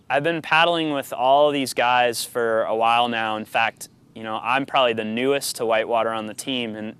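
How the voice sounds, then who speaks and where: A man's voice: medium pitch, a bit bright, smooth. A man in his thirties answering interview questions casually, thoughtfully feeling his way through phrases. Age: 30s. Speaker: expedition paddler being interviewed.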